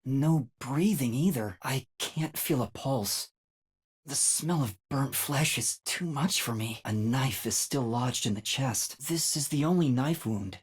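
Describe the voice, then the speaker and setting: A man voicing a timid, earnest young male trainee detective in a video game, with a light, soft, boyish voice and a hesitant manner that stammers when flustered. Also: mid-range voice, measured pace, husky, no room sound. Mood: grim, observing. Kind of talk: video game voice acting, dramatic lines.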